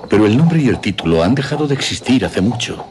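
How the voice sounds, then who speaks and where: An actor playing a courteous, sceptical gentleman in a radio drama, politely formal and inquisitive. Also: lowish voice, big pitch swings, quick pace, background noise. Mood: sympathetic. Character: courteous, sceptical gentleman father, a concerned old friend.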